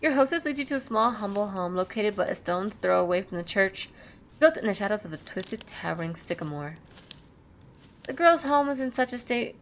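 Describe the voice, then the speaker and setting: A woman's voice: medium pitch, a little hiss or hum. A woman reading a visual novel aloud as a gaming YouTuber, with casual, blunt, sweary asides. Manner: blunt, sweary reactions between read passages. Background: music.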